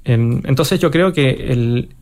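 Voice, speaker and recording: A man from Chile with a Chilean Spanish accent, a conductor answering interview questions thoughtfully, pausing and restarting as he searches for words. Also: medium pitch, darkish tone. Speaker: orchestra conductor, interview guest.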